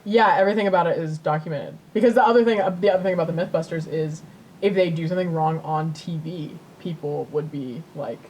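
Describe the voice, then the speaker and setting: A woman's voice: fast talker, low-quality recording. A woman co-hosting a podcast in casual banter, irreverent and joking with loose phrasing. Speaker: podcast co-host. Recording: steady background noise with low rumble.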